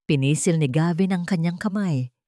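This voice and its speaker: A woman narrator reading a scripted romantic drama story in measured, short phrases. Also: fairly low pitch, dark tone, dry recording, clean studio sound.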